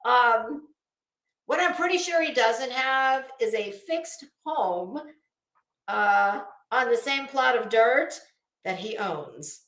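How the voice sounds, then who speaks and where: Female online commentator, mocking and halting, very unhurried pace, roomy echoing sound. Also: on the high side, lively intonation, a bit bright. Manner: sarcastic, mocking. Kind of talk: rambling, casual live-stream commentary.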